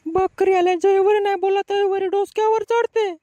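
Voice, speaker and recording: Man putting on a shrill nagging village mother. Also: flat delivery, normal speed, muffled recording, a little hiss or hum. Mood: indignant nagging. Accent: rural Maharashtrian. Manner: nagging, sharp-tongued.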